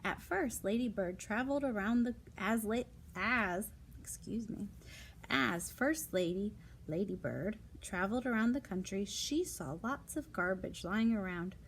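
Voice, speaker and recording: A woman's voice: medium pitch, animated, unhurried, a little hiss or hum. A woman reading non-fiction picture books aloud to young children, adding casual asides, simple explanations and questions to the listeners.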